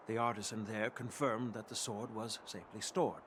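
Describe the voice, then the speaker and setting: A man voicing a fair-minded, stern authority figure with formal, measured speech in a game. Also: fairly low pitch, steady tone, fairly bright tone, slight background noise, close to an everyday voice. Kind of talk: scripted game dialogue. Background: faint game music.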